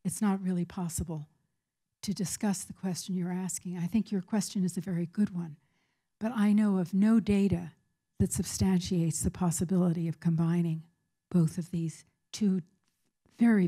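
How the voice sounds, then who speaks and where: Older lady professor giving a talk, soft mellow tone, careful measured wording. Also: lowish voice, husky. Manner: deliberate, measured, emphatic phrasing. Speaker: academic speaker, professor of peace studies. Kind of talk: public lecture answering audience questions.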